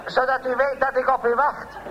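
A man's voice: very high voice, flat delivery, normal speed, dull audio, over a noisy crowd, very noisy. Een man die in een komisch hoorspel een geheimzinnige tipgever speelt, samenzweerderig en bedachtzaam. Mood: secretive. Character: mysterious informant arranging secret midnight meeting. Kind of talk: comic radio play acting.

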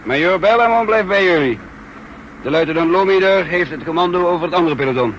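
An actor playing an authoritative commander in a 1960s radio spy drama, speaking in a clipped military manner. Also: male voice, sits high, expressive, fast talker, a bit bright. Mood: barking orders. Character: brisk, authoritative male espionage commander briefing paratroopers. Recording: muffled, noisy old 1960s radio recording.

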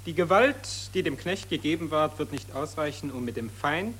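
An actor playing a sardonic critic who answers with dry, biting sarcasm. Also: male voice, a little high, lively intonation, moderate pace, fairly bright tone, slight background noise, poor audio. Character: sardonic grumbling male critic.

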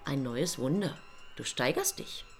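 A woman's voice: rumbling low, animated, a little slow, faint music behind, full character voice. A woman playing a small, teasing angel in a radio play, with an ironic, coolly taunting manner.

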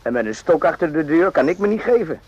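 An actor playing a naive, randy young soldier in a radio drama, rambling and jokey in an eager, confiding way. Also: male voice, on the high side, sing-song, fast, a little mellow. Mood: bashful, confiding. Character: chatty, naive, randy young army soldier, former circus hand. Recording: muffled lo-fi old radio recording.

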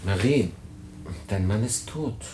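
An actor playing a melancholy, resigned refugee man in a literary radio play, speaking in a husky voice with sombre, measured phrasing and deliberate pauses. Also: fairly low pitch, a little mellow, slight background noise. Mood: subdued, pressing. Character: melancholy male refugee, resigned, recounting his story. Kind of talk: literary radio play, first-person narration and dialogue.

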